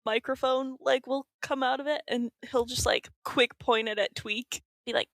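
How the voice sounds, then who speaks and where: High, creaky-voiced woman playing tabletop. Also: fairly even intonation, moderate pace, fairly bright tone, no echo, clean studio sound. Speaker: tabletop game player. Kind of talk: casual improvised game chat.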